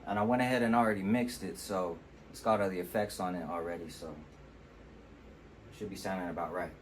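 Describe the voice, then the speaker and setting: Deep-voiced male producer, relaxed tutorial delivery, low pitch, faint background noise. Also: moderate pace. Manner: relaxed, explanatory, with fillers like "you know". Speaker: music producer demonstrating vocal mixing. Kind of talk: casual tutorial walkthrough. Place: temporary home studio.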